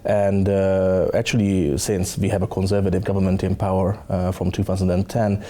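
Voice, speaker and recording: A man in his thirties with a Hungarian accent, a think tank director being interviewed, speaking haltingly with many fillers on a lapel mic in a studio. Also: deep voice, flat delivery, mellow. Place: studio set with dark curtains.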